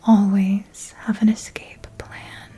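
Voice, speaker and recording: A seductive vampire mentor, acted by a woman, whispers in an intimate ASMR roleplay. She takes her time over each phrase, coaxing and possessive, with a quiet menace underneath. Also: medium pitch, level tone, husky. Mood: warning, possessive. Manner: slow, deliberate, velvety coaxing with veiled threat.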